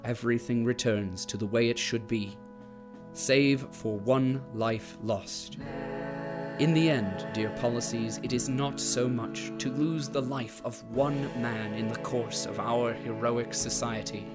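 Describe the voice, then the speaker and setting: Solemn propagandist chronicler, performed by a man, mid-pitched, measured and grandiose dramatic narration with music underneath. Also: lightly acted. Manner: solemn, portentous, emphatic.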